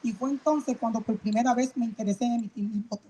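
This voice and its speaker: A middle-aged woman from Puerto Rico with a Puerto Rican Spanish accent, reading her personal statement at a press rally in an earnest, halting way. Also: a little high, fairly even intonation, warm tone, slight background noise. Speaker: community member speaker. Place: outdoor rally.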